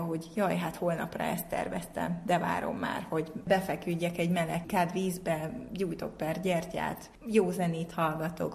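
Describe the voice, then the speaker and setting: A woman's voice: on the low side, a little mellow, a little hiss or hum. A woman giving an explanatory talk, going step by step through exercises with everyday examples.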